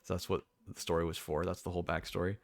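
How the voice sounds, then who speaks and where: Deep-voiced young man, rambling. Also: level tone, fast talker, gravelly, no echo. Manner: rambling, self-correcting, many fillers. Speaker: streamer. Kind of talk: casual rambling monologue.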